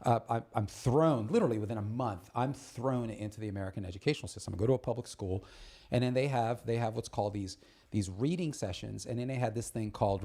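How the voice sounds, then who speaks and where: A man's voice: medium pitch, warm tone. A man whose first language is Portuguese, co-hosting a podcast and telling stories in earnest, casual chat. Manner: earnest, storytelling, quick restarts. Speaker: podcast co-host.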